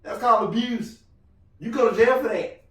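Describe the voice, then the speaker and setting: A married man co-hosting a casual podcast chat, loud-voiced, joking and rambling as he talks. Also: very high-pitched, normal speed. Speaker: podcast co-host. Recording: roomy room, mic at a distance.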